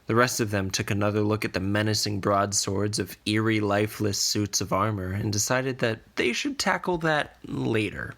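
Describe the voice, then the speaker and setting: A man narrating a scripted fantasy story in a measured, deliberate way. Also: lowish voice, creaky, a bit bright. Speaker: podcast narrator.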